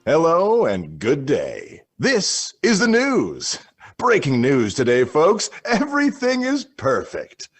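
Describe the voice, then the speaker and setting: A man's voice: fairly high pitch, wildly swooping pitch, takes it slowly, husky, faint music behind, full character voice. A man playing a relentlessly upbeat, cheesy news and weather anchorman in a parody radio broadcast for a game, with sing-song delivery. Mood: cheery. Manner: exaggeratedly cheerful, sing-song.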